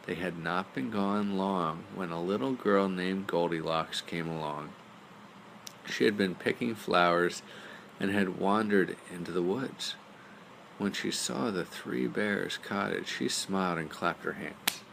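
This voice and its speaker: A man reads a bedtime story as narrator. His voice is low with plenty of fry, and there is faint noise behind it. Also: measured pace, a bit bright, low-quality recording. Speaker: storyteller, father reading aloud.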